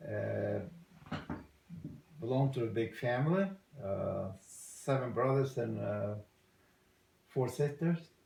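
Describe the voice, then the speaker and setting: Salvadoran guy in his 60s, gravelly voice, hesitant english with lots of uhs, echoey room. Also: mid-range voice, expressive, a little mellow. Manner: halting, many uh fillers, non-native English. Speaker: interviewee. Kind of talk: casual family interview.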